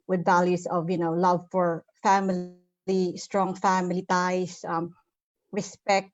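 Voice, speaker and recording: Flat-voiced woman, halting. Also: lowish voice, no room sound, muffled recording. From Philippines. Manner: halting, many repeats and fillers. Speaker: panel guest. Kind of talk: casual panel chat.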